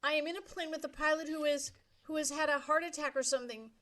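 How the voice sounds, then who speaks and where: A woman's voice: high-pitched, steady tone, fast talker, fairly bright tone, voice pushed up. A woman voicing a panicked teenage boy stranded in a plane, calling frantically for help with a cracking voice. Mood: frantic.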